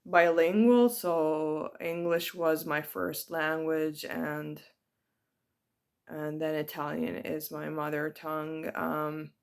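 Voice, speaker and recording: A woman giving a personal spiritual reflection to her viewers. She speaks in a hesitant, soft-spoken and heartfelt way, with many ums. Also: low voice, vocal fry. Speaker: spiritual vlogger.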